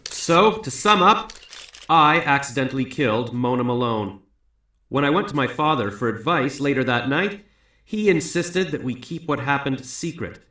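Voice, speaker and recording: Guy acting a mayor's remorseful son confessing, deliberate, forceful bright voice, echoey room. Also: medium pitch, animated. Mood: resolute, contrite. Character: mayor's guilt-ridden son making a public confession. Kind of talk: audio drama acting, formal statement. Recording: roomy, echoing recording.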